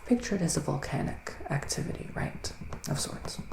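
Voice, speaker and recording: Guy doing a hushed male witch reading tarot, creaky whispery asmr voice, gentle n gloomy, bit roomy. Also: a little high, expressive. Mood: ominous, reassuring. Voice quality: soft, breathy, often slipping into whispers. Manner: hushed, unhurried, musing, with dramatic pauses. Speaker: ASMR performer, tarot reader. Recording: roomy sound with faint background noise.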